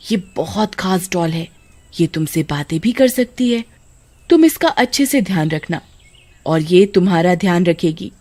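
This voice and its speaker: A woman voicing a wronged housemaid, plaintive and quietly ominous, in a horror story. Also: medium pitch, close to an everyday voice. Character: wronged housemaid, soft-spoken, secretly vengeful and eerie.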